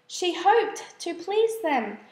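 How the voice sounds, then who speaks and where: A woman reading children's verse aloud as a storyteller, in a measured, expressive way. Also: very high-pitched, echoey room. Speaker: storybook reader. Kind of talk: scripted children's verse narration.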